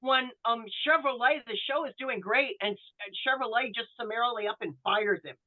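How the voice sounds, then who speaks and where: Woman on a thin, phone-like line. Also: fairly high pitch. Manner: halting, searching for words. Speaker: author guest. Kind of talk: casual explanatory chat. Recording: thin, phone-like call audio.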